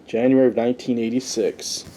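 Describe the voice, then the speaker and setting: A man with a soft, mellow voice and a middling pitch hosts a casual podcast. He talks conversationally, moving through a list and tossing in personal asides as he goes. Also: fairly even intonation, fairly quick, slight background noise, rough recording. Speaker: podcast host reviewing wrestling history. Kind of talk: casual commentary, reading a ranked list.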